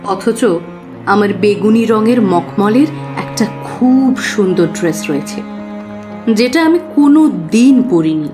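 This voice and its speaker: An actress playing an earnest young working woman who confides expressively and with pauses in an audio drama. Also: mid-range voice, lively intonation, over music. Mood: confiding. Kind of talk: dramatised audio story acting.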